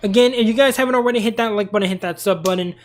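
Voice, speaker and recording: A man's voice: high-pitched, rapid-fire. A young man streaming and chatting casually about cartoons in a rambling, talkative way. He is tired and losing his voice. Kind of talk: casual live-stream commentary.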